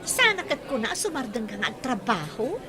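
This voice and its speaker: A woman playing a chatty, teasing friend and confidante in a radio drama, expressive and speaking with a Filipino accent. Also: on the high side, moderate pace, over music.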